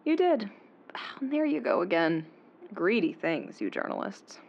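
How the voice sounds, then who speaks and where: A woman's voice: medium pitch, wildly swooping pitch, measured pace, vocal fry, heavily acted. A woman playing a guarded, sly anonymous informant in an audio drama, terse and controlled over a phone line. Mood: coolly teasing. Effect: phone-call filter. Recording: dull muffled phone line.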